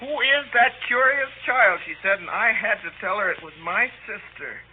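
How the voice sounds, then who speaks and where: A very high-pitched teenage boy speaks with pompous, lovestruck earnestness in a 1930s radio play. He is indignant and self-important, and the old recording is narrow and tinny. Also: expressive, normal speed, slightly rough. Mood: scandalized.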